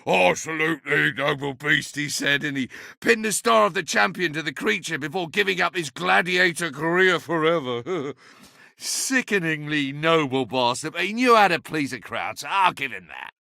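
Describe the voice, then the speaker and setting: An ancient, chatty enchanted object from a fantasy video game, high and wildly swooping in pitch. The delivery is pompous, sardonic and theatrical, with a gravelly crackle around the edges. It sounds like a boastful, bawdy little adviser who loves to talk. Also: male voice, expressive, normal speed, pushed and bright, no room sound, studio quality, heavily acted. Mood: wry storytelling. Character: impish, talkative, bawdy ancient sentient staff, boastful adviser.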